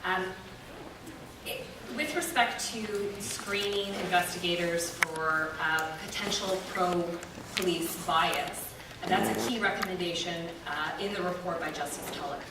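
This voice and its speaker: A woman on a review team gives measured, careful answers to public questions, pausing often. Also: lowish voice, distant mic. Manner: measured and careful, with frequent pauses. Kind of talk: formal answers at a public meeting. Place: large echoey meeting room. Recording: echoey hall audio from afar with noise.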